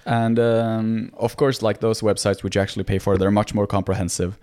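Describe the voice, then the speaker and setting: A young man in his twenties speaks English with a Norwegian accent, his first language still showing. His voice sits a little low, and he talks in an earnest, self-effacing way, often stopping to restart a phrase. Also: flat delivery, fairly quick, dark tone. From Norway. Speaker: podcast guest, medical student. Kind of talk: casual interview chat.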